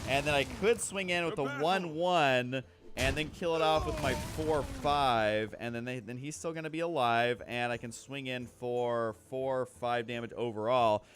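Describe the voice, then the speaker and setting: A man's voice: mid-range voice, normal speed, background noise. A man hosting a gaming video, talking casually through his moves as he thinks aloud in a rambling way. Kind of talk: casual card game commentary, thinking aloud.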